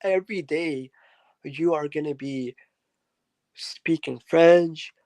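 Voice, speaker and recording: Slow, gravelly man telling a story. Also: on the high side, a little mellow, no room sound. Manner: rambling, with many fillers and asides. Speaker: podcast guest. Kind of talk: casual interview storytelling.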